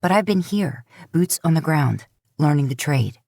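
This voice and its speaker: A woman's voice: low voice, expressive, normal speed, creaky, darkish tone, no room sound, clean studio sound. A woman voicing an earnest, bookish young man who argues insistently.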